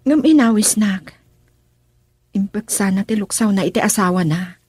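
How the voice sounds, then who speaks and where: A woman with an Ilocano accent playing a concerned grown daughter in a radio drama, with a husky voice. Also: mid-range voice, moderate pace, warm tone, no room sound, close to an everyday voice. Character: concerned grown daughter confiding in her father.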